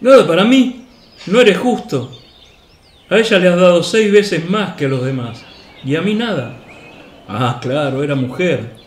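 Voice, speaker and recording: A grumbling old man played by an actor pleads poverty in a radio play. His fairly high voice is whining and indignant, greedy underneath, with roomy echo and faint birdsong behind. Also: animated, a little slow, a little hiss or hum. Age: older. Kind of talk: Spanish-language radio play acting.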